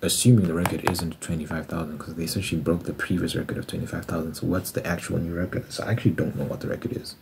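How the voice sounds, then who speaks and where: A man's voice: sits low, level tone, fast, gravelly, hardly any pauses, background noise. A man in his twenties chatting casually on an ASMR channel, musing aloud and doing sums as he talks to himself. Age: 20s. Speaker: ASMR creator and musician. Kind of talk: casual rambling ASMR chat.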